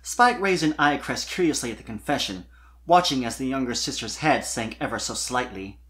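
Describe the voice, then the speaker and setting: Fan fiction narrator, medium pitch, scripted storytelling, dramatic delivery. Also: lively intonation, normal speed, a bit bright.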